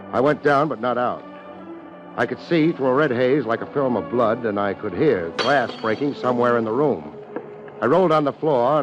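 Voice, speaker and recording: A man's voice: on the low side, close to an everyday voice. Man as wry American detective, muffled radio. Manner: sardonic, deadpan, wisecracking. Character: wry, laid-back private detective narrating his case. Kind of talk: 1950s radio detective drama, hard-boiled narration. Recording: muffled, hissy 1950s radio recording.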